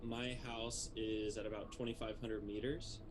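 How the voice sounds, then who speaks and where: A man from Texas, hosting a relaxed interview in a friendly, easygoing way. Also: lowish voice, normal speed. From Texas, USA. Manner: friendly, easygoing, laughs often. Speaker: podcast host. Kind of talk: casual interview chat. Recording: loud ambient and vehicle noise.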